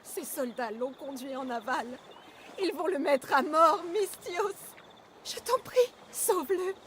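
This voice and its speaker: A woman's voice: sits high, animated, measured pace, a bit bright, a little hiss or hum. A woman playing a distraught mother pleading for her captured son in a video game. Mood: anguished, pleading.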